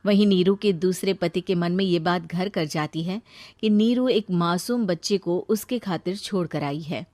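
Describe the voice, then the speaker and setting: A woman's voice: lowish voice, moderate pace, darkish tone, dry recording. A woman telling a scripted moral story as narrator.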